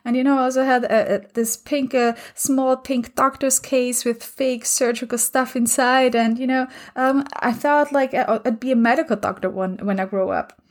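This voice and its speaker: Woman in her 30s, slightly high voice, hosts a solo podcast, thoughtful and filler-heavy. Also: steady tone, moderate pace, hardly any pauses. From Austria. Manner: reflective, many fillers. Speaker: podcast host.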